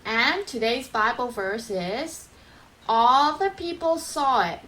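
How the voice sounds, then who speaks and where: A woman's voice: high-pitched, a bit bright, heavily acted, poor audio. A woman playing a chiding, emphatic co-host on a children's Bible puppet show, speaking in an instructive way.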